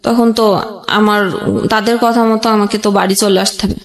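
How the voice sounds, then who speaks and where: A young woman with a Bangladeshi accent telling her own life story conversationally, in a halting, plain way with frequent rephrasing. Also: medium pitch, flat delivery. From Jashore region, Bangladesh. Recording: roomy echoing sound.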